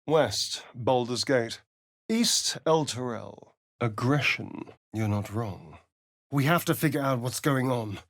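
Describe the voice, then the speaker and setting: An actor playing a sly, sardonic vampire rogue in a video game, with a theatrical, arch, drawling delivery. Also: male voice, mid-range voice, expressive, slow, fairly bright tone. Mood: dry, wry.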